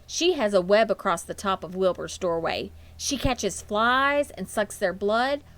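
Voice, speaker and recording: A woman's voice: medium pitch, lively intonation, measured pace, no room sound. A woman voicing an eager, earnest young farm girl in a children's storybook, thoughtful and matter-of-fact. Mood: enthusiastic.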